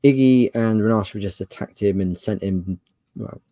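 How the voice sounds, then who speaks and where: A man's voice: on the low side, normal speed, mellow, no echo, clean studio sound. A man narrating an improvised tabletop game as game master, conversational and descriptive.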